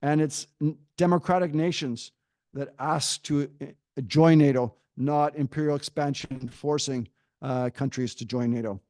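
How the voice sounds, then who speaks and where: A middle-aged man, a professor, giving a prepared argument at a panel in a measured way, pausing often with fillers. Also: on the high side, fairly even intonation, darkish tone, no room sound, clean studio sound. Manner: measured, pauses with frequent fillers.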